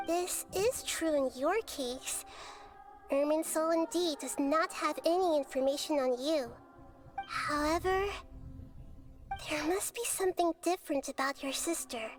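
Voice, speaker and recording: A woman playing a calm, wise young girl goddess in a video game, speaking in measured, articulate explanations. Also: very high-pitched. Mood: calm, grave. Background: background music.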